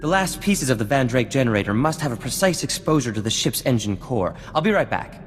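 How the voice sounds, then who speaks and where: A man voices a vain gentleman inventor from a video game, mid-pitched and very animated. His speech is courtly and florid, with eagerness and nerves beneath it. Long reverb and music surround the voice. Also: fairly quick, natural voice. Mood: earnest, grateful. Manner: florid, formal, theatrical. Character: vain, refined male scientist-inventor, eager and anxious. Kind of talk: video game cutscene acting.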